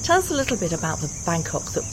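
A woman's voice: fairly low pitch, big pitch swings, quick pace, noisy background. A woman hosting a podcast, narrating and interviewing. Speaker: podcast host.

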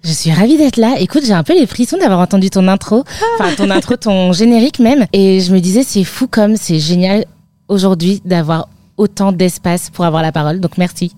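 A woman's voice: mid-range voice, animated, brisk, mellow, no room sound. A woman from northern France in her thirties, a midwife guest chatting casually with frequent fillers and light laughs. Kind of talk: casual interview chat.

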